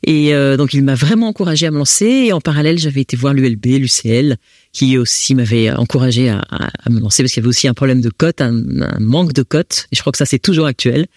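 A woman's voice: rumbling low, fast talker, a little mellow, no echo, clean studio sound. A woman with a French accent answers interview questions as founder of a housing association, explaining with frequent restarts. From France.

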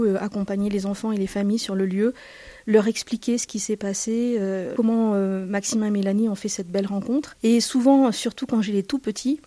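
A woman, a nun, calmly explaining children's activities in an interview. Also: mid-range voice, no echo. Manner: calm, explanatory, with fillers. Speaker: nun running a children's welcome center. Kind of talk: interview answers.

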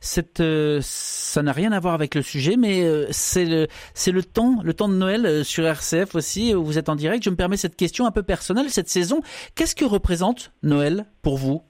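A man hosts a live radio interview from a dry, close studio mic. His voice sits high for a man. He asks measured, careful questions. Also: lively intonation, darkish tone, dry recording. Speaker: radio host.

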